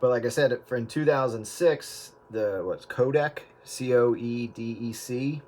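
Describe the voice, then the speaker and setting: A man's voice: medium pitch, a little slow, a little mellow. Rambling man with many fillers. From US Northeast. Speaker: video editor. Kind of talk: casual chat.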